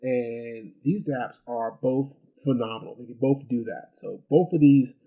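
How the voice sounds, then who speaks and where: A man's voice: mid-range voice, measured pace, mellow, husky. A man giving a casual, unscripted product review, rambling and repeating words as he goes, with chatty rhetorical asides. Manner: chatty, rhetorical asides and check-ins like "right?". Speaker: gadget review host.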